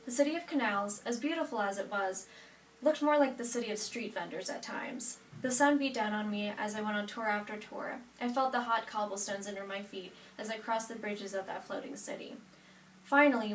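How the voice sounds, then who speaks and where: A young American woman, about eighteen, giving a prepared personal narrative speech from notes. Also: medium pitch. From United States, Nebraska. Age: 18, young adult. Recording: echoey room, distant mic, faint noise.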